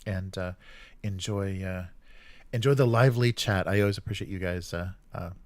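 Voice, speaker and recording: A man hosting a livestream, chatting casually in a halting, rambling and jokey way. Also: low voice, dry recording. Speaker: livestream host.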